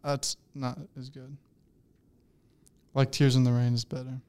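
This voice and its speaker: A man's voice: medium pitch, little pitch movement, a little mellow, clean tone. A young man co-hosting a casual podcast, teasing his friend and quoting movie lines. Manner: teasing, quick, playful. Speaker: podcast co-host. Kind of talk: casual chat.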